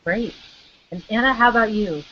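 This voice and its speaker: A woman's voice: a little high, normal speed, bright, forceful tone, muffled recording, slight background noise. A woman hosting an online interview over a video call, upbeat and eager as she welcomes her guests. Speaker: interview host.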